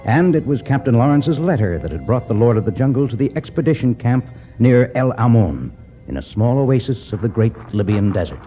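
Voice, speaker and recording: Animated male radio drama narrator. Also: medium pitch, fairly quick. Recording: dull 1950s radio recording. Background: faint music.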